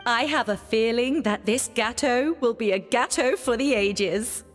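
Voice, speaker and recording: Casting: actress as refined female gourmet judge, game, precise, lightly raised pitch, music underneath. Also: unhurried, long reverb tail, lightly acted. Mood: appreciative. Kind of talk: video game voice acting.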